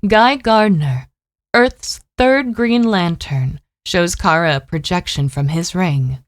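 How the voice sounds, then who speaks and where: A woman's voice: fairly low pitch, slow, dry recording, clean studio sound. A woman narrating an audio drama in a scripted, dramatic storytelling style.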